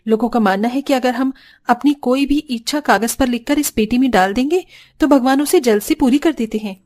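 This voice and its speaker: A woman's voice: fairly high pitch, brisk, darkish tone, lightly acted. A woman playing a kind, humble, devout poor mother in a cartoon story, speaking earnestly and politely. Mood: gentle concern. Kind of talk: Hindi cartoon story voice acting.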